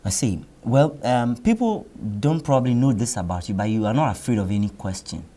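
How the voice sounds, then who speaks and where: Ghanaian male TV host; mellow, dark tone, halting questions. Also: mid-range voice, animated, slight background noise. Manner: hesitant, many fillers and restarts. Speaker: TV interview host. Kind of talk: TV interview. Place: TV studio.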